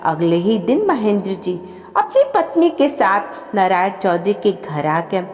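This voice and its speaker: A woman's voice: medium pitch, a little mellow, big-room sound. A woman narrating a scripted family story as a storyteller.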